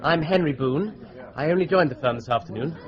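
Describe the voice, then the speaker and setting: A man's voice: fairly high pitch, lively intonation, brisk, lo-fi sound, crowd noise, close to an everyday voice. An actor playing a polite, slightly hesitant new man at a law firm, with an English accent, in a British radio drama. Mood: polite, curious.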